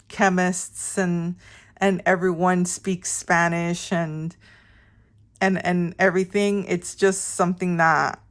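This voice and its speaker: A middle-aged woman from Miami chatting casually to her viewers in a rambling, informal way, often interrupting herself. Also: on the low side. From Miami, Florida, United States. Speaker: vlog host. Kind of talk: casual chatty personal storytelling.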